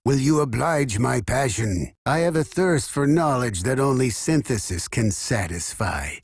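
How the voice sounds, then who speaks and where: An actor voicing an imperious, obsessive scholar in a video game, speaking in a formal, grandiose and condescending manner. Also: male voice, mid-range voice, creaky, slightly rough, no room sound, studio quality, full character voice. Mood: fervent, inviting. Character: obsessive, imperious male scholar hungry for knowledge, preserving specimens. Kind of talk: scripted video game character dialogue.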